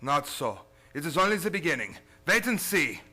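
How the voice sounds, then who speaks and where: An actor playing a grim, commanding doctor and vampire hunter in an old-time radio drama. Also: male voice, fairly high pitch, very animated, moderate pace, bright, forceful tone, husky, full character voice. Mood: ominous.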